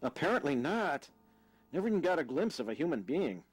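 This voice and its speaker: Man acting an earnest young husband, higher-pitched. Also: sing-song, fairly quick, husky. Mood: weary. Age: young adult. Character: earnest, polite young husband, easily exasperated. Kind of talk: audio drama acting.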